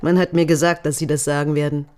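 An actress playing a determined, grieving lover in a radio crime drama, speaking in short, insistent phrases. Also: female voice, low-pitched, flat delivery, fast, darkish tone, smooth, lightly acted. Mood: guarded. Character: determined grieving mistress seeking truth about dead lover.

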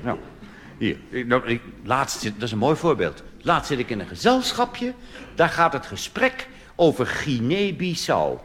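A man's voice: mid-range voice, big pitch swings, unhurried, fairly bright tone. A man from the Netherlands performing a wry, mocking cabaret monologue on stage, now and then slipping into quoted voices. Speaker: cabaret comedian. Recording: live stage recording with audience and hiss. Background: audience laughter.

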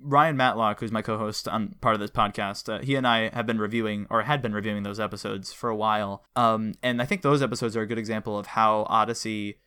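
A man's voice: lowish voice, steady tone, fast talker, few pauses, pushed and bright, clean tone. A man co-hosting a podcast, talking casually and analytically in a chatty, explanatory way. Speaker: podcast co-host.

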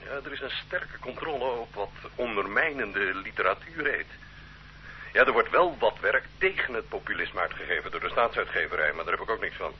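Man playing a low-voiced, hesitant bookseller. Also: lively intonation, a little fast, natural voice. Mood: cautious. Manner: hesitant, full of ehs. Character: cautious male bookseller, hesitant. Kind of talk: radio drama acting. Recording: dull old radio recording with faint hum.

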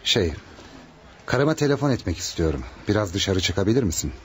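An actor playing a courteous, worried hospital patient and husband in a radio play. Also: male voice, lowish voice, very animated, a little fast. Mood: worried, polite. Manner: polite, concerned. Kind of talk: Turkish radio theatre acting.

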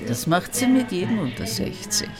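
Teasing woman played by an actress over loud music. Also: mid-range voice, sing-song, moderate pace, close to an everyday voice. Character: teasing, reassuring woman dance partner.

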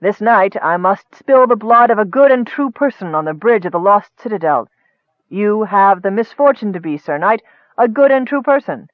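A man's voice: high voice, lively intonation, normal speed, dry recording, voice pushed up. A man voicing a cold, scheming male wizard who talks in a commanding, impatient way. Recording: tinny phone-like dry sound.

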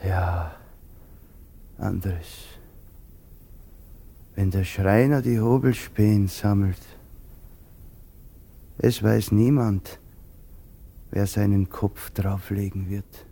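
An actor in a German radio play voicing a resigned, melancholy soldier of thirty, halting and brooding. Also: male voice, lowish voice, creaky, warm tone, exaggerated voice. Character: resigned, haunted thirty-year-old common soldier, simple and melancholy.

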